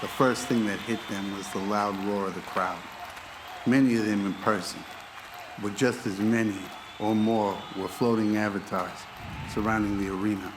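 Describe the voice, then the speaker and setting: A man's voice: on the low side, fairly even intonation, moderate pace, a crowd in the background, noisy background. A man reading scripted narration for a radio-style audio drama.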